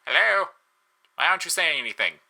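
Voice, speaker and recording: A man's voice: a little high, very animated, fairly quick, creaky, sharp tone, pitched above the performer's own voice, heavily acted. A man voicing a boastful, pompous male skeleton who is eager for friends, in an exclamatory storybook reading. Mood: excited, proud.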